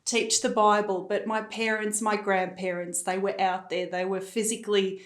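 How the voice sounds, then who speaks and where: A woman's voice: mid-range voice, flat delivery, moderate pace. A young adult woman from Africa, speaking as a guest in a conversational interview in an earnest, affirming way. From South Africa. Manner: earnest, affirming, frequent "you know". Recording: echoey room.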